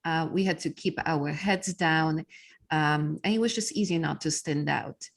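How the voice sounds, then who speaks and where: Low-voiced Polish-accented woman coach. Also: unhurried, creaky. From Poland. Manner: frequent fillers, earnest storytelling. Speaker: podcast guest, business coach. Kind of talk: casual interview talk.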